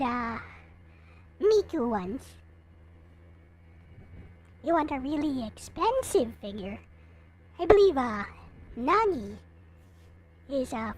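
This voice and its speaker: A woman hosting a livestream, chatting casually to viewers and replying to their comments. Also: high voice. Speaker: livestream host. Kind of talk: casual livestream chat showing collectible figures.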